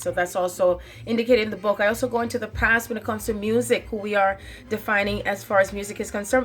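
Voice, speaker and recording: A woman talking straight to her viewers in a spiritual monologue, stating her claims with emphasis, often repeating phrases and stopping on fillers. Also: medium pitch. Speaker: channel host.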